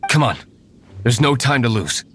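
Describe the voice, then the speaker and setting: A man's voice: mid-range voice, sing-song, normal speed, close to an everyday voice. A man voicing a stoic young nobleman hero in a video game, composed, curt and formal. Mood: matter-of-fact.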